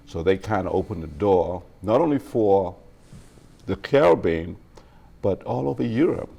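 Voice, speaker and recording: A middle-aged Bahamian man speaks in a very low, gravelly voice as a guest in a relaxed interview. He talks hesitantly, with plenty of ums, and breaks into hearty laughter. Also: unhurried.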